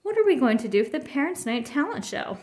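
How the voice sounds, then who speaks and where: A woman's voice: high-pitched, sing-song, fast, a little mellow. A woman voicing an earnest young boy bear cub in a children's storybook. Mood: eager. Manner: earnest, protesting.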